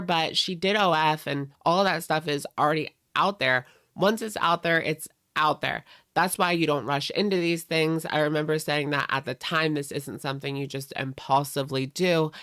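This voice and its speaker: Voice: medium pitch, a bit bright, clean tone, dry recording, studio quality. An internet drama channel host giving casual gossip commentary and chatting directly to viewers.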